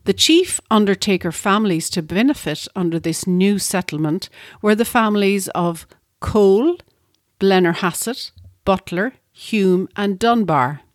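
A woman co-host narrating a scripted history segment at a steady, measured pace. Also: mid-range voice, no echo, clean studio sound. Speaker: podcast co-host.

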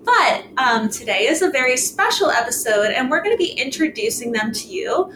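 A woman's voice: sits high, big pitch swings, normal speed, noisy background. A woman who works as a wellness coordinator, talking in a supportive, casual way on a podcast.

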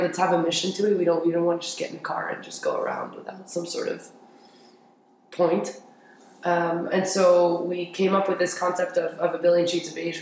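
A young adult from Paris telling stories casually as an interview guest, explaining things informally and often checking for agreement. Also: medium pitch. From grew up in Paris, France. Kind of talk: casual conversational storytelling. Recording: very echoey room with faint noise.

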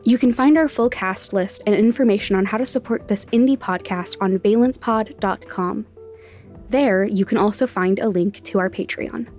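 A woman's voice: medium pitch, moderate pace, a little mellow. A woman reading scripted end credits as the creator of a podcast. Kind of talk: scripted narration. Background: faint music.

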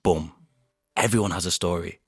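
A man from Bolton with a Bolton accent, a podcast guest telling stories in animated casual chat and acting out his own quotes. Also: low voice, normal speed, slightly rough, dry recording, clean studio sound. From Bolton, England.

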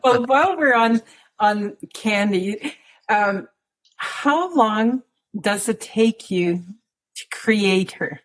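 Slow-talking, husky woman interviewer. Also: medium pitch, animated, poor audio. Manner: warmly affirming, many short agreements. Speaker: interviewer, host. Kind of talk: conversational interview.

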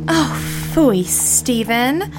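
Woman acting a gushing, high-voiced society girl. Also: lively intonation, extremely slow. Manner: affected, theatrical, chirpy. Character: Lucy, gushing, coquettish young society woman. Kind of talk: old-fashioned period drama acting. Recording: music under the voice. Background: music.

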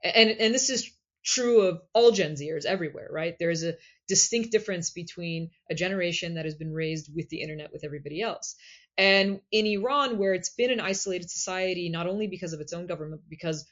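A woman, a guest scholar, explaining history in a measured way and often checking in with "right?". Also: lowish voice, a bit bright, muffled recording. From United States. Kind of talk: explanatory interview talk.

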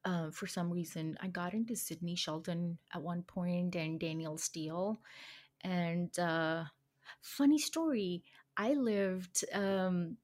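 A woman's voice: on the low side, slow pace, a little mellow. A young woman from India with an Indian accent, a guest on a podcast, talking casually with many fillers and frequent laughter. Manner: chatty, laughs often, many fillers and restarts. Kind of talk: casual conversation.

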